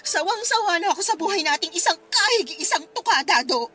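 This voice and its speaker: A woman's voice: very high-pitched, expressive, brisk, pushed and bright, heavily acted. A woman playing a frustrated, ambitious but guilt-ridden wife in a Filipino radio drama, speaking emphatically and reproachfully. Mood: exasperated, scolding.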